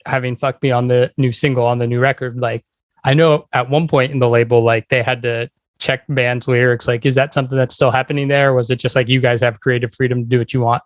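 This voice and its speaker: A man interviews a guest as a podcast host. His pitch is average and the sound is dry, with little room. He speaks informally, in loose conversational phrases. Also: little pitch movement, quick pace, dry recording.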